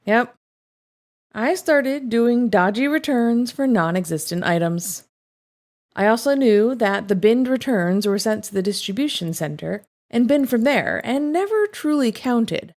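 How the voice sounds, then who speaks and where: Woman, higher-pitched voice, story reader, conversational delivery, scripted first-person confessions. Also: expressive, unhurried, a little mellow. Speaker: story reader, host of a show reading online confessions.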